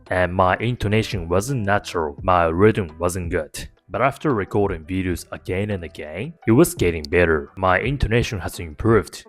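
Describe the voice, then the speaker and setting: Low-voiced Japanese man, earnest. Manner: earnest, encouraging, plain-spoken. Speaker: YouTuber and English learner. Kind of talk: motivational talk to camera. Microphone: talking to camera.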